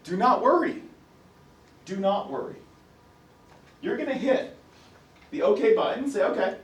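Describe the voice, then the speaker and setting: Raspy-voiced professor in a roomy classroom. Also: male voice, high voice, expressive, measured pace, recorded from a distance. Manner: talks to himself while fumbling with the computer. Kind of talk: classroom lecture.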